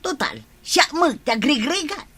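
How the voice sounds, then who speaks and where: A woman's voice: high voice, big pitch swings, measured pace, fairly bright tone, heavily acted. A woman playing a fed-up, strong-willed village wife in a comedy radio drama, with an Ilocano accent. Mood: defiant.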